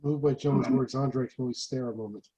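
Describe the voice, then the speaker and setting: Man, stage directions reader, scripted narration, soft dark timbre, mid pitch. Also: fairly even intonation, fairly quick.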